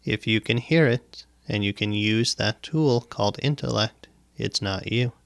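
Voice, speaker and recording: A man guiding a meditation as a teacher, speaking in deliberate, instructive phrases with pauses and repetition, close to the microphone. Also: fairly low pitch, creaky, no echo. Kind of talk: guided meditation, spoken instruction. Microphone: close microphone, dry sound.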